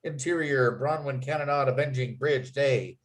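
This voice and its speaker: A man's voice: medium pitch, moderate pace. A man reading out screenplay scene headings and action as the narrator of a script table read. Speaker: narrator and writer.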